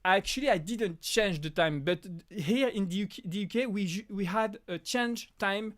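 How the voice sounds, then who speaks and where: French-accented young male host, high voice, relaxed rambling live-stream chat with restarts. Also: moderate pace. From France. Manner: reads out chat names, frequent restarts and laughs. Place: apartment.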